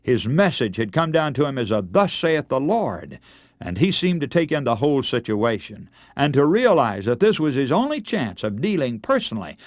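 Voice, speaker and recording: Male radio evangelist, mid-pitched and lively, emphatic sermon delivery with weighty pauses, dry close sound. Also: no echo. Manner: emphatic, oratorical, with rhetorical repetition and deliberate pauses. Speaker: radio preacher and broadcast host.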